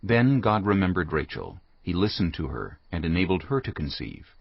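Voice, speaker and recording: Deep-voiced, expressive male Bible narrator. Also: moderate pace, creaky, husky, no echo, lo-fi sound. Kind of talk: scripted dramatized Bible narration. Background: background music.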